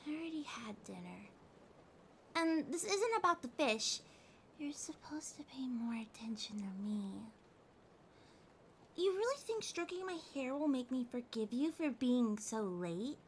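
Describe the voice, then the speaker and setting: Woman voicing a high, slow-talking needy cat girl. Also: slight background noise, full character voice. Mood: pouty. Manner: pouty, teasing, drowsy, speaking softly to a partner. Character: needy, haughty but affectionate young female cat girl. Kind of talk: ASMR roleplay, soft intimate acting. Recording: steady rain sounds behind voice. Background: rain ambience.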